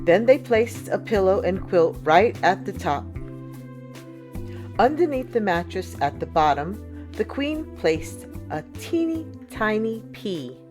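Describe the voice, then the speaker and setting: A woman narrates a children's storybook at an unhurried, slow pace. Her delivery is expressive and deliberate, made for young listeners. Music plays softly behind her. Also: lowish voice. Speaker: storyteller reading a picture book aloud.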